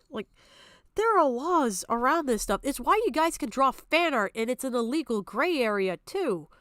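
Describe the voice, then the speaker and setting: A woman's voice: sits high, big pitch swings, a little slow, a bit bright, dry recording, studio quality. A woman giving off-the-cuff, argumentative stream commentary in a blunt, exasperated, sweary manner. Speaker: streamer reacting to a video.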